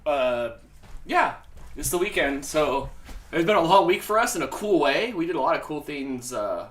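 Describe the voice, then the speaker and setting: A man's voice: fairly high pitch, sing-song, moderate pace, fairly bright tone, reverberant. A man cohosting a casual live chat, joking and rambling and laughing often. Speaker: co-host.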